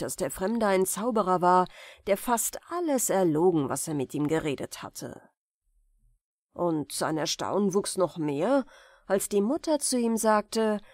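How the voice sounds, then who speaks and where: Slow, dry female fairy-tale narrator. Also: mid-range voice, lively intonation, darkish tone, dry recording. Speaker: audiobook narrator. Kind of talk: scripted fairy-tale narration, bedtime storytelling.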